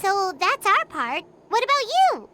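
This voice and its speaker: Tiny eager game fairy, squeaky kiddie voice, super bouncy, noisy game ambience behind. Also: female voice, huge pitch swings, measured pace, heavily acted. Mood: attentive. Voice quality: squeaky, childlike. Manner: refers to self by name, eager. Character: tiny childlike fairy companion, chirpy and forgetful. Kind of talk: video game character dialogue.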